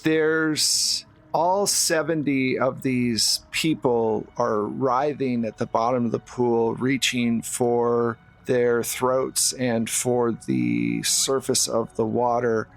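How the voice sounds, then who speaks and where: A man's voice: mid-range voice, slow pace, creaky, poor audio. A man narrating a horror tabletop roleplaying game as the game master. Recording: music behind the voice.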